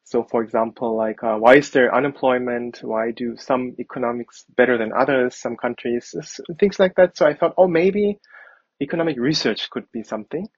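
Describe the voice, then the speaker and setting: A man in his thirties from Germany answers questions in a thoughtful, hesitant way. His voice is a little husky. The recording is dry and slightly muffled. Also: mid-range voice, normal speed, dry recording. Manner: thoughtful, hesitant with fillers and restarts. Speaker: architect guest. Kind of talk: interview answers.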